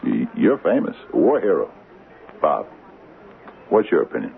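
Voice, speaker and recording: Troubled small-town mayor, radio drama. Halting, humble, swinging expressively from a very deep register. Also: male voice, huge pitch swings, gravelly, heavily acted. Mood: uneasy, humble. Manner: halting, stammering, earnest. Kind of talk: old-time radio drama acting. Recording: phone-like, noisy old radio recording.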